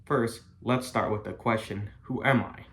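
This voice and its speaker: A young man from the United States giving a prepared class speech in an earnest, careful, halting way. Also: medium pitch, fairly even intonation. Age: young adult. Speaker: college student.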